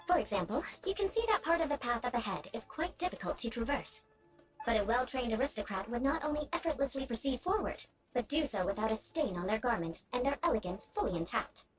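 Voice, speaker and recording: A woman's voice: sits high, low-quality recording. A woman playing a poised young aristocratic knight in a video game, speaking formally and strictly with a teasing edge. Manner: formal, composed, haughty instruction.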